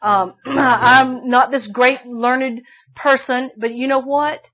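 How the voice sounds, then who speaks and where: A woman preaching a sermon with a shrill voice, emphatic and repeating phrases for stress. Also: fairly even intonation, takes it slowly, lo-fi sound.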